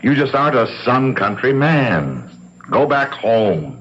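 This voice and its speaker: An actor playing a breezy, needling company boss in a 1970s radio drama, with a dry, brisk, teasing manner. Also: male voice, mid-range voice, sing-song, a bit bright. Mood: dry, blunt. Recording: dull, faintly noisy 1970s radio broadcast.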